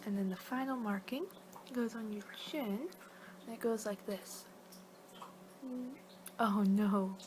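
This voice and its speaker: A woman performing a playful ASMR roleplay, rambling along in soft speech and whispers as if losing her train of thought. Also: medium pitch, slow, pauses often. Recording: clear background noise.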